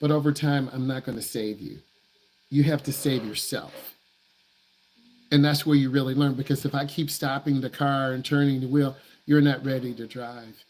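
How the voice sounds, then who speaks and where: A man's voice: mid-range voice, fairly even intonation, moderate pace, a little mellow, rough recording. Blunt, emphatic man teaching driving. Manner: blunt, emphatic, repeats himself for stress. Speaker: driving instructor. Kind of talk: live stream Q&A with viewers.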